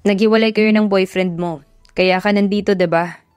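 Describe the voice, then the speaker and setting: A woman's voice: medium pitch, steady tone, moderate pace, a little mellow, clean tone, no room sound, studio quality, faint music behind, close to an everyday voice. Woman reading a cocky young man. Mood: smug, teasing. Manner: smug, teasing. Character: cocky, teasing young male boss.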